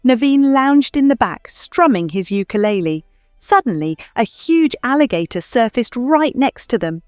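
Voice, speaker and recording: A woman reading a scripted children's story as narrator, in an expressive storytelling manner. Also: a little high, moderate pace, no echo, clean studio sound. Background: faint music.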